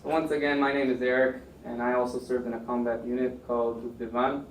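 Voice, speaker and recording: A man's voice: medium pitch, flat delivery, fast. A young American man on a panel, telling his story casually with many fillers and restarts. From United States. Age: young adult. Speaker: panel speaker. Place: lecture room. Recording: echoey room, mic far off, faint noise.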